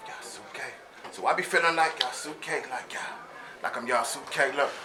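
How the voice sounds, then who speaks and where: A man's voice: mid-range voice, unhurried, background noise. A man performing chanted, rap-like poetry with repeated rhythmic phrases at a live stage event. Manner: repetitive, rhythmic hook delivery. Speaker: performing poet. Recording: live event with loud crowd.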